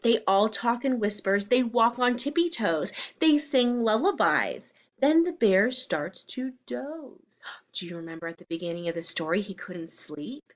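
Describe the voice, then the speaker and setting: A woman's voice: fairly high pitch, lively intonation, unhurried. A woman reading a children's story aloud like a teacher, speaking expressively and explaining things simply to young listeners.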